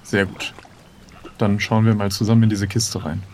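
A man's voice: fairly low pitch, little pitch movement, moderate pace, noisy background. A man playing an improvised audio role-play game, thinking aloud as he announces his actions. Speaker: player in an adventure game.

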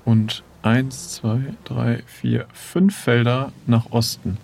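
A man's voice: mid-range voice, flat delivery, takes it slowly, creaky, a little mellow, slight background noise. A man playing an improvised audio adventure, casually thinking aloud and hesitantly announcing his moves. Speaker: player in an improvised adventure game.

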